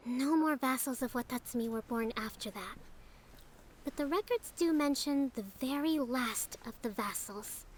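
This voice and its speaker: A woman playing a gentle, composed young priestess leader in a video game, speaking in a measured, formal and polite way. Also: high voice, slight background noise. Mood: solemn. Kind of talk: video game acting, expository dialogue. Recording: soft game music behind the voice.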